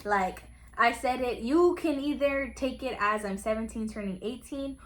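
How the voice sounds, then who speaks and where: Young woman telling a story, acts out the quotes, kinda high voice. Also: normal speed. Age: young adult. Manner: animated storyteller, acts out quotes, says literally and like a lot. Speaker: co-host of couples channel. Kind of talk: casual storytelling chat.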